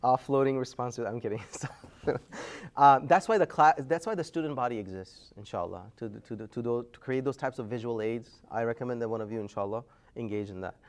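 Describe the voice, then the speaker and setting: Male lecturer, energetic classroom teacher, call-and-response style, middle-pitched. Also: brisk. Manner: energetic, quizzing the class, rising loudly to prompt answers. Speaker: Islamic studies teacher.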